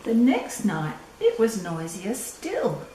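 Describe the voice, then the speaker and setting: An older woman reading a picture book aloud to children, deliberate and drawn-out, with little asides. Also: mid-range voice, huge pitch swings, mellow. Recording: echoey room, distant mic, faint noise.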